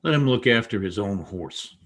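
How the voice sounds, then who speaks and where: A man's voice: on the low side, animated, normal speed, close to an everyday voice. A man acting a brooding, jealous young stable boy with intense delivery in an old-time radio drama. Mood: surly, demanding. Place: indoor, remote video-call session. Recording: noisy remote video-call audio.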